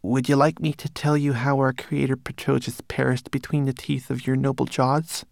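A man's voice: medium pitch, flat delivery, normal speed, vocal fry, dry recording, studio quality, voice pushed up, lightly acted. A man voicing a tiny, nervous male homunculus servant with an obsequious, fussing manner, in a storybook reading. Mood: obedient. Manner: obsequious, fussing, reciting dutifully.

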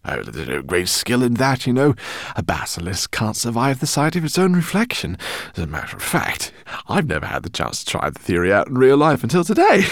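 A man voicing an excitable, eccentric older male professor who speaks in effusive, fussy exclamations. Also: medium pitch, fairly quick, dry recording, clean studio sound, voice pushed up. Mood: modest, awed. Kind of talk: audiobook character reading.

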